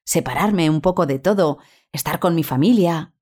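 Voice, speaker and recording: Actress as a low-pitched, guarded woman astronaut. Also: normal speed, a little mellow. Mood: calm, guarded. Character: composed, guarded Spanish woman astronaut turned university researcher. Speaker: interview guest. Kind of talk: scripted fictional interview.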